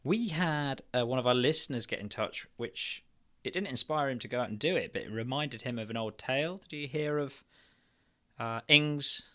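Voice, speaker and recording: Brief: male podcast co-host, bright forceful voice, medium pitch, frank sweary delivery that rambles and circles back. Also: moderate pace. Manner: frank, profane, rambling with repeated phrases. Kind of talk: casual candid chat.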